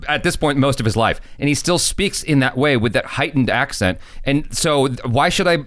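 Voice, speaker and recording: A man co-hosting a movie reaction chat, animated and teasing, with many restarts. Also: medium pitch, moderate pace, no echo, clean studio sound. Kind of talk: casual chat.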